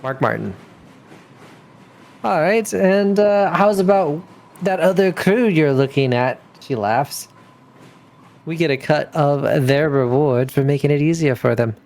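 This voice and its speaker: A man's voice: a little high, unhurried, creaky, long pauses, darkish tone. A man playing a tabletop role-playing game, talking casually in character with the group.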